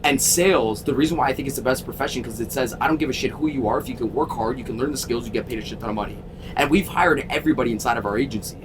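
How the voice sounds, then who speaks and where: A man in his thirties from Toronto, a sales coach telling his story in a casual interview, energetic and emphatic, swearing freely. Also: very fast. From Toronto, Canada. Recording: constant vehicle rumble.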